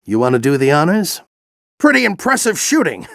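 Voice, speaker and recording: An aged alien general from a video game, with a raised, creaky voice. He coaches in a theatrical, fervent way, swinging widely in pitch. The recording is tight and dry. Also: wildly swooping pitch, fairly quick, a bit bright, no echo, exaggerated voice. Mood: focused, resolute. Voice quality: gravelly, theatrical. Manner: grand, exhorting, coaching. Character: aged Lombax general, furry alien mentor, fervent and encouraging. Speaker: mentor and military veteran. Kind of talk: video game character acting.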